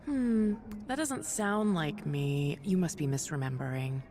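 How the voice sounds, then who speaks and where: A woman playing a calm, kind, wry superhero doctor in a video game, gentle and teasing in natural dialogue. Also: low-pitched, a little slow.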